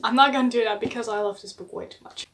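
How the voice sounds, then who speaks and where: A woman's voice: fairly high pitch, steady tone, quick pace, a bit bright, a little hiss or hum. A young woman from the Netherlands, chatting enthusiastically in a casual book vlog and laughing often. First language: Dutch. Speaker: book vlogger.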